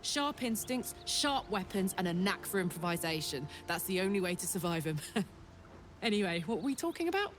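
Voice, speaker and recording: A woman's voice: mid-range voice, sing-song, fairly quick, slight background noise, close to an everyday voice. A woman playing a brash, hearty tiefling warrior in a video game, with a blunt, sweary, exuberant manner. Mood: animated, confident. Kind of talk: video game character dialogue.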